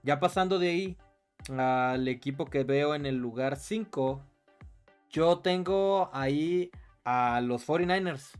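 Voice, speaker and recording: A man's voice: on the high side, takes it slowly, clear, resonant tone, soft background music. Mexican man giving casual football analysis. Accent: Mexican Spanish. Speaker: sports podcast co-host.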